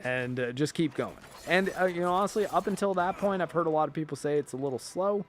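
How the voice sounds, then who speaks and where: A man hosting a video, giving casual, rambling film and TV commentary in an informal, emphatic way, often halting mid-thought. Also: fairly high pitch, slight background noise. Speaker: video host.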